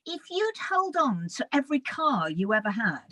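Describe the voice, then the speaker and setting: A woman's voice: on the high side, sing-song, normal speed, sharp tone, slight background noise. A middle-aged woman in casual chat as a co-host of an online talk show. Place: at home indoors on a video call.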